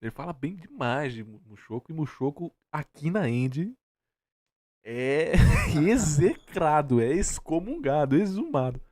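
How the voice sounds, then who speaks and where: A man's voice: a little high, very animated, measured pace, a little mellow, dry recording. A Brazilian man giving casual, opinionated commentary in an emphatic, slangy way, as a reaction host. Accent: Brazilian Portuguese.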